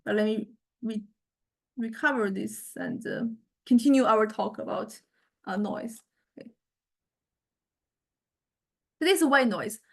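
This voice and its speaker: A woman lecturer giving an informal software demonstration, explaining conversationally and often hesitating as she searches for words. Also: a little high, a little slow, creaky. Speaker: university music technology lecturer.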